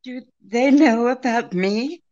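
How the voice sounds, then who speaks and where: An actress playing a secretive, seemingly helpless femme fatale client in an old-time radio mystery, halting and pleading with a throb in her voice. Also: a little high, dark tone, lo-fi sound. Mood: desperate pleading. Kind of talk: old-time radio detective drama re-creation.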